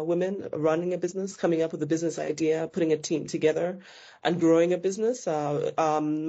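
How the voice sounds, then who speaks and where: Mellow-voiced businesswoman, muffled audio. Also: deep voice. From Zambia. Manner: thoughtful, self-correcting, with frequent restarts. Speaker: business founder being interviewed. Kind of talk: conversational interview answers.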